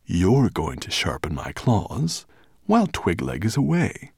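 A man voicing a huge, bad-tempered dragon master in a storybook, with a deep, booming growl and an imperious, contemptuous way of snapping. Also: huge pitch swings, measured pace, vocal fry, a little mellow, slightly rough, dry recording, studio quality, full character voice. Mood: gloating.

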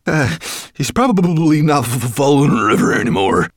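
A nervous storybook dwarf, servile yet cross. He stammers and sputters as if soaked and shivering, his pitch jumping high above a normal speaking voice. The sound is close and dry. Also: sing-song, brisk, dry recording. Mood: nervous objecting.

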